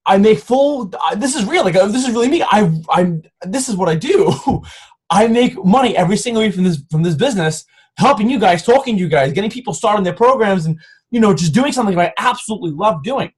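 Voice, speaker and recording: A man's voice: high voice, animated, brisk, slightly rough. A man in his twenties giving an earnest, pushy motivational talk to his coaching team from home, often restarting his sentences. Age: 20s. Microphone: camera.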